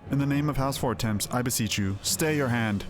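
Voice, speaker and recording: A man's voice: mid-range voice, a little fast, natural voice. Man playing a dutiful, courteous knight. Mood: pleading. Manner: formal, earnest. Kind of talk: fantasy game dialogue read aloud. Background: game music.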